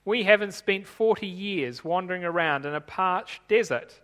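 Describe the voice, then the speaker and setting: A man who grew up in Tanzania, preaching a sermon in a measured, explanatory way while reading from his notes. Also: high voice, fairly bright tone, long reverb tail.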